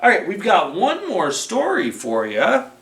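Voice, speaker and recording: Children's storyteller, mid-range voice, lively swooping intonation, deliberate read-aloud delivery, echoing room, distant mic. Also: mid-range voice. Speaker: children's library storytime presenter. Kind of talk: animated storytelling with felt board. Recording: roomy, echoing, distant microphone.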